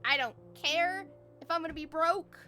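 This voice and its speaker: A young woman with an American accent giving casual live game-stream commentary in an excitable, slangy fangirl manner. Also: very high-pitched, moderate pace, soft background music.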